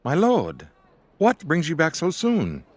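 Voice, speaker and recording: A man's voice: a little high, huge pitch swings, slow, heavily acted. A man playing a deferential, questioning palace guardsman in a fantasy audiodrama. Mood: confused. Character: tall guardsman, deferential and puzzled palace soldier. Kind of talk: fan audiodrama acting.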